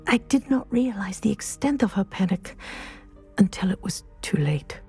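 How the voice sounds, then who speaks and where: An actress playing a dignified, principled female professor in a game, speaking in a measured, precise, formal way. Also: medium pitch, expressive, mellow, faint music behind, natural voice. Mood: solemn regret. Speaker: professor and flying teacher. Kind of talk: scripted game dialogue, storytelling.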